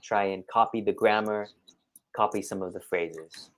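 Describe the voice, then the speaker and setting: A man's voice: lowish voice, steady tone, a little slow, fairly bright tone. Encouraging male English tutor explaining simply. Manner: encouraging, plain step-by-step explaining. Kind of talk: conversational one-to-one tutoring advice. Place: online video call.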